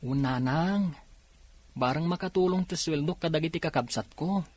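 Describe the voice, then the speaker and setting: An actor with an Ilocano accent playing a dutiful, patient young eldest son from a poor farm in a radio drama, earnest and respectful. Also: male voice, fairly high pitch, moderate pace, a little mellow, dry recording, close to an everyday voice. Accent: Filipino, Ilocano.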